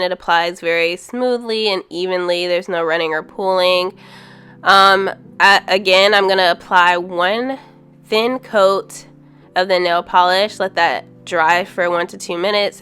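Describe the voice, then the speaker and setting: A woman's voice: fairly low pitch, a bit bright, clean tone. A woman with a stuffy, congested voice from a cold, casually reviewing nail polish with frequent ums and candid opinions. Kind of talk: casual product review voice-over.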